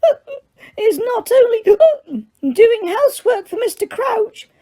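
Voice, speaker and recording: A woman voicing a drunk, weepy female house elf who squeaks and slurs through tears. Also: sing-song, slow, mellow, heavily acted. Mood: tipsy tearful. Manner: squeaky, slurred, hiccuping and sobbing.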